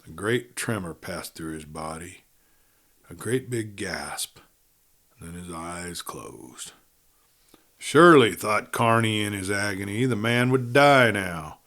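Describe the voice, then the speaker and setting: A man reading a Western audiobook as narrator, in a theatrical, deliberate and emphatic storytelling manner. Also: fairly low pitch, bright, forceful tone. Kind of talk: dramatic scripted Western narration.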